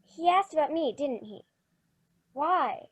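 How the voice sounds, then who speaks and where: A woman acts out a little girl in a radio play. The voice is high and young. The child is inquisitive and stubborn, with an anxious edge. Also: expressive, slow pace. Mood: suspicious, worried. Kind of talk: old-time radio drama acting.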